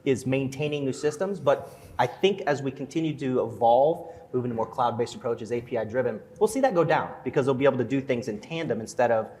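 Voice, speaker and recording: A man, a security executive on a conference panel, speaking in quick bursts with frequent restarts. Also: mid-range voice, a little mellow. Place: conference stage. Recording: reverberant conference hall.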